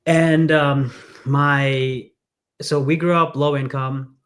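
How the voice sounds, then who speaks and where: A man's voice: on the high side, little pitch movement, slow. A man who grew up in England, speaking as a candidate in a conversational interview, earnest and storytelling with frequent fillers. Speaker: congressional candidate.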